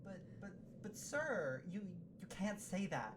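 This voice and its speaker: An actor playing an earnest, naive assistant in an audio comedy drama, deferential, hesitant and fretful. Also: male voice, high-pitched, sing-song, slow pace, heavily acted. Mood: worried. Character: nervous, earnest, naive male underling of a judge. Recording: noticeable background noise and ambience.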